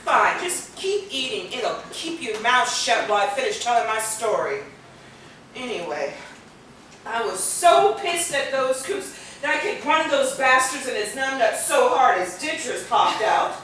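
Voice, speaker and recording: A woman's voice: a little high, big pitch swings, measured pace, a bit bright. An actress playing a feisty, foul-mouthed wounded woman in a stage play, brash and slangy. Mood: irritated, snapping. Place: theater stage, far microphone. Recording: echoey, distant, noisy videotaped stage.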